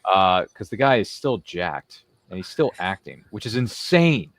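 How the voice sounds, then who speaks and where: A man's voice: fairly low pitch, big pitch swings, unhurried, fairly bright tone. A man hosting a casual interview, chatting in a rambling way and slipping into quotes and little impressions. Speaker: show host.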